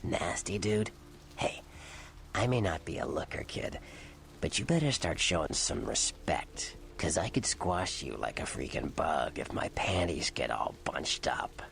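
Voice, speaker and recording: Very deep, gravelly vampire informant. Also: male voice, expressive, a little slow, a bit bright, full character voice. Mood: threatening. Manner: sardonic, streetwise, sneering. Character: seedy, cynical, irritable male vampire informant. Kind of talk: video game voice acting.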